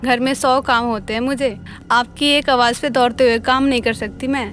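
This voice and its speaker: A woman voicing an insolent, sharp-tongued daughter-in-law in a melodramatic cartoon, rude and scornful. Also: a little high, steady tone, moderate pace, lightly acted. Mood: irritated.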